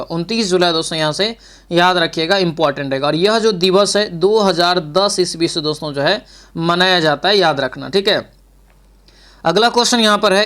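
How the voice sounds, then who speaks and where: A man's voice: high-pitched, a bit bright. A man teaches an exam-prep lesson. He reads out quiz questions, then explains the answers, repeating key points and speaking straight to his students. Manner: repeats questions and answers, addresses learners directly.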